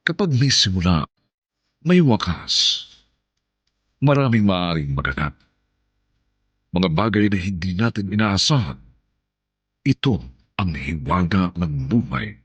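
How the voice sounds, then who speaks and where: A man's voice: low voice, big pitch swings, measured pace, long pauses, breathy edge, lo-fi sound. A Filipino man hosting a radio drama with solemn, theatrical scripted narration. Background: soft music.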